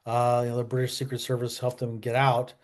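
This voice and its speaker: A man's voice: mid-range voice, monotone, brisk. Casual, digressive man hosting a history vlog. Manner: digressive, colloquial, opinionated. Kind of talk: casual, conversational history commentary.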